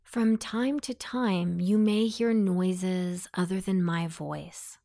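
A woman narrating a guided meditation in a soothing, deliberate, instructing manner. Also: on the low side, steady tone, creaky, dark tone.

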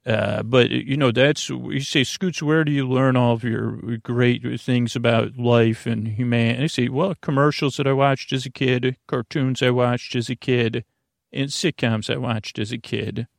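Gravelly, meandering American man. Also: mid-range voice, steady tone, no room sound, studio quality. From United States. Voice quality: gravelly, creaky. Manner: meandering, halting, repeats and trails off mid-thought. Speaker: podcast host. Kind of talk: rambling monologue for a bedtime sleep podcast.

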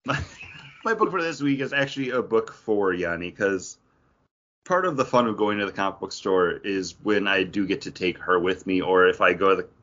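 A man's voice: deep voice, lively intonation, moderate pace, a bit bright, lo-fi sound. A man co-hosting a podcast, chatting casually about comic books. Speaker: podcast co-host. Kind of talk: casual comic book chat.

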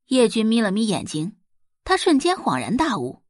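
Woman audiobook narrator for serial fiction; dramatised delivery, lively pitch movement, dry studio-like sound. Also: normal speed, dry recording. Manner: expressive, dramatised storytelling. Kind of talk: scripted audiobook narration of a web novel.

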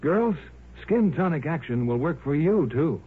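A male announcer in vintage radio style, selling with polished emphasis. His fairly high voice is very lively in pitch and somewhat husky. The recording is dull and old. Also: a little slow. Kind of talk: 1950s scripted radio advertising. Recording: muffled 1950s radio recording.

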